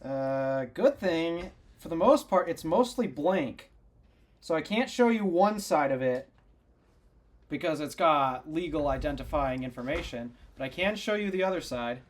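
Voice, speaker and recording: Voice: mid-range voice, animated, a little slow. Teasing podcast co-host who laughs a lot. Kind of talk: casual tech chat.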